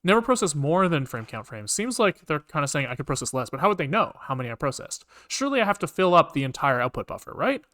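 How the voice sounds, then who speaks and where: A man's voice: a little high, very animated, quick pace, few pauses, a bit bright. A man talking casually to himself as he works through code on a live stream, informal and muttering. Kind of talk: casual think-aloud live coding commentary.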